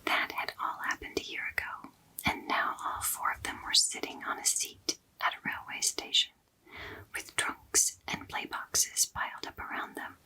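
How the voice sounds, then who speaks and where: Give me a woman narrating a children's novel in a gentle, bright whisper. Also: normal speed, rough recording. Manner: soft unvoiced whisper throughout. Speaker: storyteller. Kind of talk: whispered ASMR story reading.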